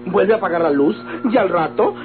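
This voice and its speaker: An actor playing a meddling, timid and devoted Mexican manservant, prim and whiny, in old radionovela style. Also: male voice, high voice, natural voice. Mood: pleading, indignant. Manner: whiny, effusive, prim, drawn-out pleading. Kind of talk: golden-age Mexican radionovela comic acting. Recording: old tinny radio recording with music.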